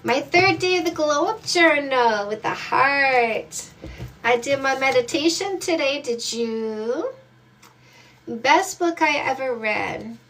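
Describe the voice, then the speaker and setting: A woman's voice: high voice, animated, slow pace, fairly bright tone, recorded from a distance. A woman dating coach from Canada hosting a live stream, reading viewer comments aloud in an upbeat, affectionate way.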